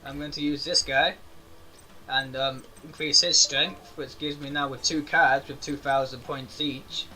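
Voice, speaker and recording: A man's voice: little pitch movement, unhurried, pushed and bright, poor audio. A man hosting a gaming channel, chatting casually to viewers and thinking aloud as he plays a card game. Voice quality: self-described high-pitched voice. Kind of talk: casual let's-play commentary.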